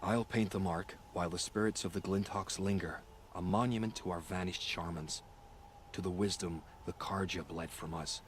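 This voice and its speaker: A solemn tribesman from a video game, played by a man, honours his people in formal speech. His voice is deep and grave. There is faint ambience behind it. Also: fairly even intonation, moderate pace, a little hiss or hum, natural voice. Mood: solemn, grateful.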